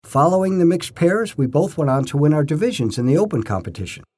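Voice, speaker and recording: Older guy reading his life story, bit raspy, pitch moves around a lot, storyteller emphasis. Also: very animated, fast talker, dark tone. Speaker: narrator telling his own memoir stories. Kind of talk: scripted first-person story narration.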